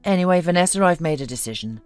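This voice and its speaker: A woman's voice: lowish voice, animated, quick pace, darkish tone, dry recording, soft background music, natural voice. A woman voicing a timid, resentful husband in a narrated story, with a trembling, meek, hurt delivery. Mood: hurt, resolute. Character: timid, resentful, long-humiliated husband, adult man.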